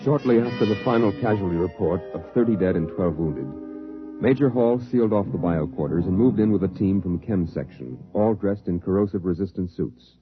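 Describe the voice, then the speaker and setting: A male actor is a grave narrator of vintage science fiction radio. His voice is low and the reading is scripted. Music plays clearly behind him on a dull old recording. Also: a little fast, close to an everyday voice. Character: grave documentary-like male narrator of a space drama.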